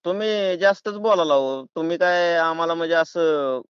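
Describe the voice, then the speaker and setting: A man's voice: fairly high pitch, moderate pace, clean tone, no echo. A man with a rural Maharashtrian accent talking in a pushy, folksy, argumentative way on a prank phone call. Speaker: prank caller. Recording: dull, lo-fi phone call recording.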